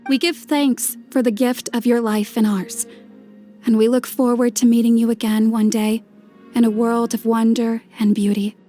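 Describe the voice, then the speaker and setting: Woman as soft-toned, solemn funeral celebrant. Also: on the high side, level tone, moderate pace, faint music behind, close to an everyday voice. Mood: solemn, reverent. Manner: ceremonial, gentle. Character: solemn, reverent woman giving funeral blessing. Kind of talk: video game voice acting.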